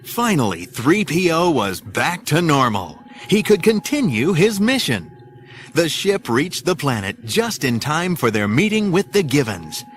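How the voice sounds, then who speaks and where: A man's voice: a little high, sing-song, a little slow, faint music behind. A man narrating an audio drama in an urgent, theatrical storytelling style.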